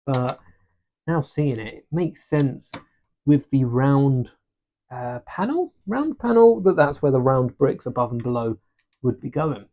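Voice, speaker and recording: A man hosting a long live stream from his desk, chatting casually and thinking aloud as he builds, with a relaxed, self-deprecating manner. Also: medium pitch, unhurried, muffled recording. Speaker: livestream host building a brick set. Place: home desk during a livestream. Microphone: desk microphone.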